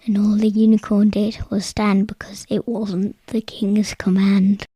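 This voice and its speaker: A woman's voice: mid-range voice, flat delivery, unhurried, dark tone, husky, dry recording. A woman narrating a bedtime story as a podcast co-host.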